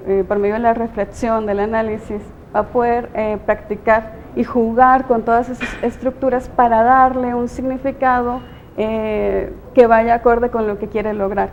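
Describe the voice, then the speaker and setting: A Mexican woman teacher explains her ideas slowly and thoughtfully, with many pauses. Her voice is warm and mellow and sits a little high. The sound is slightly dull, with a faint low rumble behind it. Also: flat delivery. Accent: Mexican Spanish. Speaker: teacher and guest. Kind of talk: interview answers.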